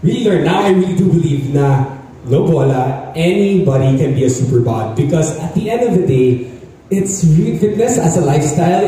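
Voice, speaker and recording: A man's voice: a little high, mellow, slight background noise. A man in his twenties from the Philippines, a group fitness instructor giving an upbeat motivational speech and engaging the audience. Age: 20s. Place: large indoor hall stage. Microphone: handheld mic, filmed from far. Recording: echoey hall from far off.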